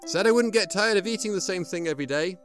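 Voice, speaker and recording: A man plays a lonely old fellow in a 1940s-style radio drama. His voice sits a little high, his talk is folksy and worried, and music plays prominently behind him. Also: quick pace, lightly acted. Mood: mild, thoughtful. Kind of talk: 1930s and 40s style radio drama acting. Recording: music playing over the voice.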